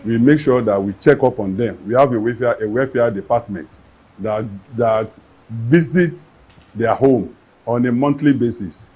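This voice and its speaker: A man's voice: medium pitch, unhurried, mellow, husky, faint music behind, low-quality recording. A man, an agency official answering interview questions in an earnest, official way. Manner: earnest, official, with some restarts.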